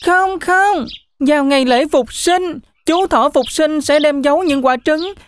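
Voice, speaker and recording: A woman's voice: high-pitched, measured pace. A woman voicing an earnest, determined young goat in a children's cartoon. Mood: earnest, pleading.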